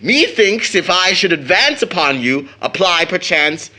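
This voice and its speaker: A spirited American teenage son in a period radio play. His voice is fairly high and swoops widely, with drawn-out, theatrical phrasing. He sounds proud and teasing, a schoolboy fond of mock-grand speech. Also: big pitch swings, bright, forceful tone, exaggerated voice. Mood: teasing, playful. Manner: theatrical, jokingly pompous with slangy boyish talk. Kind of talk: audio drama acting of an early 1900s stage play.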